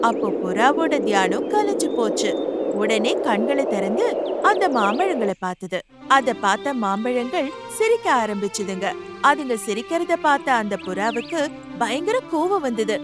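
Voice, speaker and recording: A woman narrating a scripted children's fable as a storyteller. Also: on the high side.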